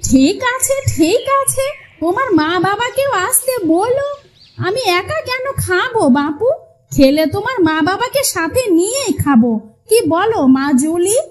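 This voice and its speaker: Lady doing bossy older mother-in-law, cartoon, nagging folksy talk, very high voice. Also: expressive, a little slow. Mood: fond chiding. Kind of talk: cartoon fairy-tale dialogue.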